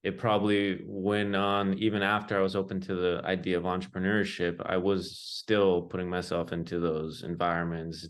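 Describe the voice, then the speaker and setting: Low-voiced young man chatting casually. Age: young adult. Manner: informal, loose phrasing peppered with "like" and "you know". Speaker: interview guest, entrepreneur. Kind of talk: casual conversational storytelling.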